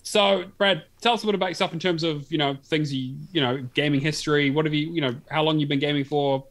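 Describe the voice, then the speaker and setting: A man's voice: fairly high pitch, fairly even intonation, fast talker, a bit bright. A man hosting a casual gaming podcast, rambling with lots of restarts and the odd swear word. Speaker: podcast host.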